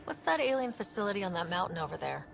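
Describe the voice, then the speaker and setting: A woman's voice: fairly low pitch, very animated, fast, gravelly, background noise, exaggerated voice. A woman playing a friendly, wry young human explorer in a video game, talking casually. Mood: inquisitive. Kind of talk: dramatic game dialogue. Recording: echoey hall, faint music behind.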